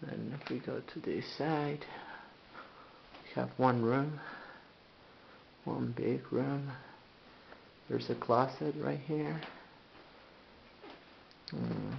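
A man giving a halting, casual walkthrough tour inside a house, filmed on a handheld camcorder. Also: medium pitch, fairly even intonation, vocal fry. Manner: halting, restarts often, plain speech. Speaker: home tour guide. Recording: muffled lo-fi camcorder audio with faint noise.

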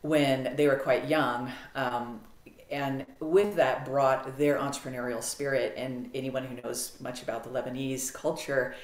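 A middle-aged American woman talking as a podcast guest on a video call, animated and clear with frequent ums. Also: extremely low pitch, a little slow. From United States. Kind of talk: casual interview storytelling. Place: home office, video call. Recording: roomy video-call audio.

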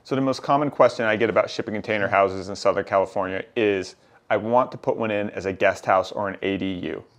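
Middle-aged man, lowish voice, conversational interviewer, office meeting room. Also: fast. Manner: conversational, summarizes and checks points. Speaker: channel host interviewing a builder. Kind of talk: casual interview.

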